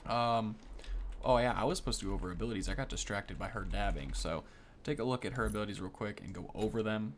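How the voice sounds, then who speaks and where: A man's voice: fairly low pitch, quick pace, slight background noise. Rambling man doing casual game commentary. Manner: rambling, self-correcting, easily impressed. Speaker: gaming video host.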